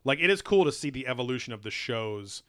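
A man's voice: mid-range voice, lively intonation, quick pace, a bit bright, no room sound, studio quality. A man chatting casually as a podcast host, rambling and swearing freely, with lots of fillers and restarts. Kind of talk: casual chat reviewing a TV show.

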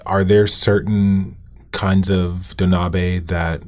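A man hosting a podcast interview, asking questions in a polite, measured way. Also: low-pitched, level tone. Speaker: podcast host.

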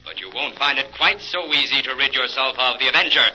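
An actor playing a stern, taunting invisible crime fighter with an American accent in a 1940s radio drama, speaking in a commanding, ominous way. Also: male voice, medium pitch, fast, pushed and bright, slightly rough. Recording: dull 1940s radio recording.

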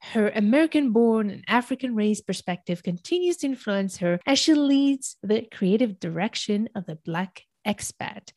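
A woman's voice: medium pitch, darkish tone, no echo. A woman hosting a podcast interview, enthusiastic and effusive as she introduces her guest. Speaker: podcast host and business coach.

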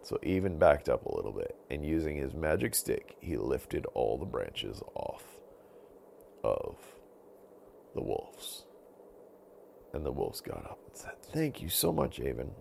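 A man telling a bedtime story to children in an animated storytelling style, as a podcast host. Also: a bass voice, slow pace, gravelly, long pauses, slight background noise.

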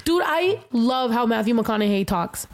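A woman with a slight lisp and a Spanish-speaking background chats animatedly, peppering her talk with swearing. Her voice sits a little higher than average, and she rants with easy, casual energy. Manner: animated, profane, quick to laugh. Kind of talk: casual chat.

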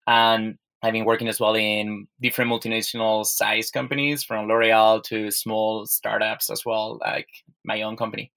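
Spanish-accented man, bright and halting. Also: fairly low pitch, little pitch movement, gravelly. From Spain. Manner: halting, with repeated restarts. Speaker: guest, software sales executive.

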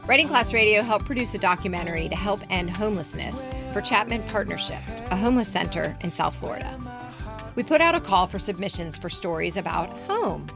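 Woman podcast host over music. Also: mid-range voice. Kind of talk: scripted podcast narration.

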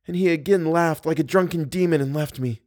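A man's voice: a little high, brisk, darkish tone, voice pushed up, close to an everyday voice. A man voicing a terrified, distraught young captive woman in an audiobook, speaking with urgent, pleading delivery. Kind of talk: dramatic audiobook character voice.

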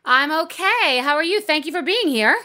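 A woman's voice: high-pitched, expressive, moderate pace, pushed and bright. A middle-aged woman hosting an interview, introducing her guest and chatting eagerly with him. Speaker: podcast host. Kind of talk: casual interview.

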